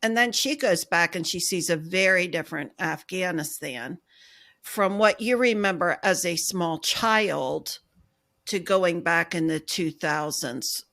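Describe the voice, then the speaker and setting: Slow-spoken woman podcast host. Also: fairly low pitch, a bit bright. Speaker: book club podcast host. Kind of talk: casual podcast interview.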